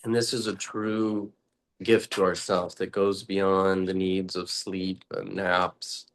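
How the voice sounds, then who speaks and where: A man leading a guided meditation talk as a teacher, speaking deliberately in short, halting phrases with long pauses. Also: low voice, little pitch movement.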